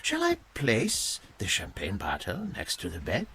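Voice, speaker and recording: A man's voice: lowish voice, wildly swooping pitch, measured pace, background noise, exaggerated voice. An actor playing a formal, courteous male yacht captain attending a rich client in a radio drama. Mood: deferential, courteous. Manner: polite, formal, servile. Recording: music behind the voice.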